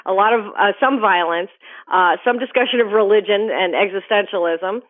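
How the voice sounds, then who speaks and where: Woman film critic on a phone line; brisk, enthusiastic delivery, lo-fi phone audio. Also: mid-range voice. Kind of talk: phone-in movie reviews.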